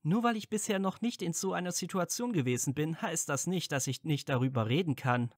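Guy acting loyal young wizard pal, bit high voice, eager and blunt, audio drama. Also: expressive, brisk, no room sound, clean studio sound. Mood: flustered, curious. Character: earnest, loyal young wizard friend, easily flustered. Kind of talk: fan fiction audio drama dialogue.

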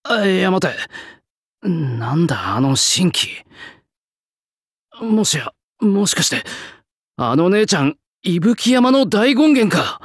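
An actor playing a proud young male swordsmith spirit in an anime-style game, talking in a rough, blunt, old-fashioned working-class way. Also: high voice, sing-song, takes it slowly, pauses often, no room sound, clean studio sound, heavily acted. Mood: startled. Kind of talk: anime-style mobile game character lines.

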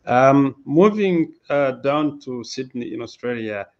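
A man from Uganda, a mental health advocate, speaking haltingly with many fillers over a headset on a video call. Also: medium pitch, expressive, a little mellow, highs cut off. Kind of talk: panel discussion.

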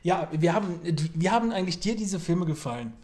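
A man's voice: high voice, sing-song, a little fast, a little mellow. A young man hosting a casual interview, asking questions in a conversational way. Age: young adult. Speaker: interviewer, host. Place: indoor room, seated beside guest. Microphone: handheld recorder held in his hand.

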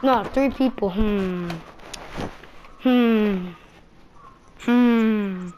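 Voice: mid-range voice, drawn-out words, dull audio, slight background noise. A young streamer chatting casually over gameplay in a slangy, rambling way. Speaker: gaming streamer.

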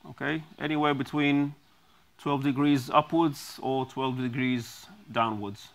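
Slow, halting man demonstrating a product. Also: medium pitch, steady tone. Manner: slow, halting, many ums and restarts. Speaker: product designer demonstrating his device.

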